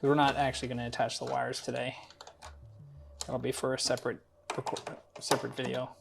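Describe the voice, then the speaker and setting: A man's voice: mid-range voice, little pitch movement, brisk, vocal fry, noisy background. A man hosting a hands-on build tutorial, talking informally through each step as he works. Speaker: host of a DIY build tutorial. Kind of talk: casual hands-on instructional narration.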